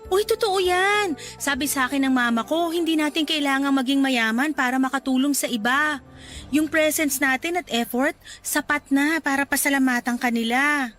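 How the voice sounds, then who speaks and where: A woman's voice: high-pitched, normal speed, fairly bright tone. A woman with a Filipino accent playing a playful, encouraging young volunteer in a conversational radio drama. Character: friendly, encouraging, outgoing young woman volunteer, a self-described introvert. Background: background music.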